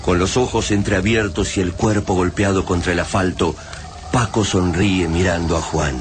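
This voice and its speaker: Low-voiced male narrator over loud noise. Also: steady tone, normal speed. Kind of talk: dramatic scripted radio drama narration. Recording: very noisy sound effects bed.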